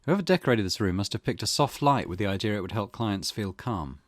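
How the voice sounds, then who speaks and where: Male gaming host, lowish pitch, animated melody, casual commentary, very dry sound. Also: fast, dry recording. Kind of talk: casual let's play commentary, reading on-screen text and chatting.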